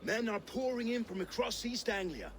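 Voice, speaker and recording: Fervent Viking captain, high voice, swinging intonation, earnest game drama, noisy backdrop. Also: male voice, lively intonation, moderate pace. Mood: earnest briefing. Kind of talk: dramatic video game acting. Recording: game audio with background noise.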